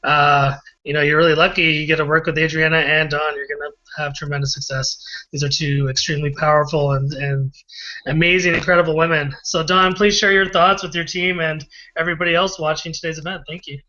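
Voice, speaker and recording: A man's voice: fairly high pitch, level tone, fairly quick, sharp tone. A man hosting a promotional live video call, praising guests with enthusiastic, hype-filled talk. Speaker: host and admin of an online business call. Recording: dull lo-fi video call audio.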